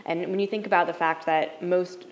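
A woman answers a question on a panel in a lecture hall. Her voice sits very low for a woman. She talks in a measured way, often checking in with "right?". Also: fairly even intonation.

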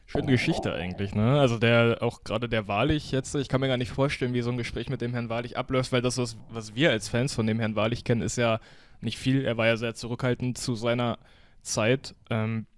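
A man's voice: medium pitch, steady tone, fairly quick, no echo. A man hosting a podcast, interviewing in a casual, informal, conversational way. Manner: informal, colloquial German. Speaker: podcast host.

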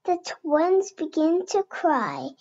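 Woman, very high girlish pitch, deliberate storybook read-aloud, word-by-word phrasing, dull lo-fi sound. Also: very animated, warm tone. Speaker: storybook reader. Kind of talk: slow read-aloud of a children's picture book. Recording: dull lo-fi home recording.

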